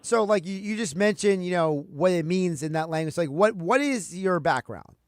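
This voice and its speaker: High-voiced guy hosting a sports radio chat, dry close mic, rambly questions, kinda geeky. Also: animated, normal speed, no echo. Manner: chatty, rambling questions, self-described geek. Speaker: radio host. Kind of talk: interview host. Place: home studio.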